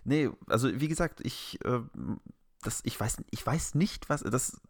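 A man's voice: mid-range voice, measured pace, dry recording, clean studio sound. A man with a German accent talking as a podcast co-host in a casual, colloquial, exclamatory way.

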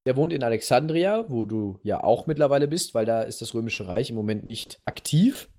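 A man hosting a podcast, telling a history story casually and playfully in a halting, slightly husky voice. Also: mid-range voice, expressive, mellow. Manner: halting, playful storyteller addressing co-host directly. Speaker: podcast host.

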